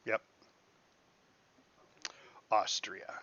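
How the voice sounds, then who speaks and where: A man's voice: very slow, pushed and bright, muffled recording. A man streaming a space game, chatting casually with his chat, joking and laughing often. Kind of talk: casual live gameplay commentary.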